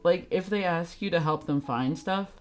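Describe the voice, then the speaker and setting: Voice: medium pitch, moderate pace, faint music behind. A young adult game streamer chatting casually with viewers in a sweary, giggly, teasing manner. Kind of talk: casual livestream chat with viewers.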